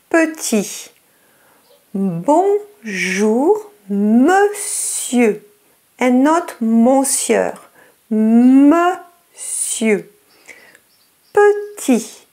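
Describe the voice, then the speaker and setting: A woman with a French accent gives a lesson in a high, bouncing voice. She talks very slowly and over-articulates, repeating words and phrases so learners can follow. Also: big pitch swings, mellow. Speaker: French teacher. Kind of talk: slow, clear lesson narration in English and French.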